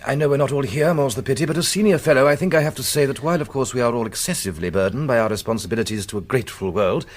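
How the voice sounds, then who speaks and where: Man playing an older British lord, mid-pitched voice, pompous, orotund, droll, radio play. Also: very fast, lightly acted. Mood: pompous, ironic. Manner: pompous, orotund, drily ironic. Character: pompous, droll senior male college fellow, a lord. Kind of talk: British radio drama acting.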